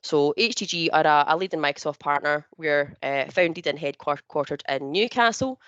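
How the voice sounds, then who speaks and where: A woman's voice: deep voice, moderate pace, fairly bright tone, no echo, muffled recording. A woman hosting a business webinar, speaking with many fillers and restarts over a video call. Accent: not local to the northeast of England. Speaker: webinar host and partner manager.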